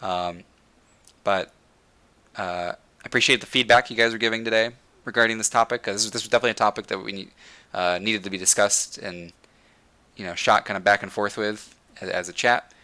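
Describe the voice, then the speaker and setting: Young male streamer, bright tense voice, fired-up and repetitive delivery, voice going hoarse. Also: lowish voice, fairly even intonation, brisk. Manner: passionate, repeats words while thinking. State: losing his voice. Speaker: livestream host. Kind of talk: casual gaming rant to chat.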